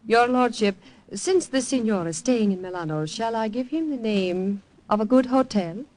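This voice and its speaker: An actress playing a composed, inquisitive young secretary in a 1940s radio drama. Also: female voice, fairly high pitch, expressive, normal speed, a little mellow, natural voice, poor audio. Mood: probing. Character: young Italian woman secretary, poised and probing.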